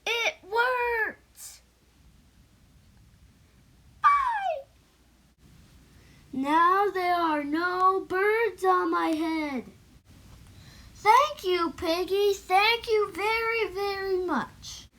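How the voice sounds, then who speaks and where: A child acts out an anxious, easily upset elephant from a storybook. The voice is high and very slow, fretful and exclamatory, as in a picture book read aloud. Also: very animated, bright, forceful tone, full character voice. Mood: relieved.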